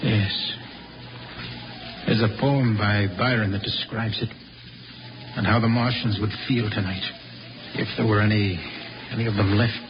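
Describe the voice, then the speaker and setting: An American actor playing a grave, idealistic and bitterly ashamed spaceman in 1950s radio drama, speaking haltingly and with passion. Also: lowish voice, fairly even intonation, lightly acted. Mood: wistful. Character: idealistic, brooding spaceman, bitterly ashamed of his own kind. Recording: muffled old radio recording, faint music.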